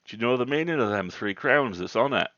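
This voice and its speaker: A man voicing a proud, excitable old country churchwarden in a storybook reading, with emphatic, colloquial rustic speech. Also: medium pitch, a little fast, pitched above the performer's own voice, lightly acted. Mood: chatty, proud. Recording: roomy, dull livestream audio.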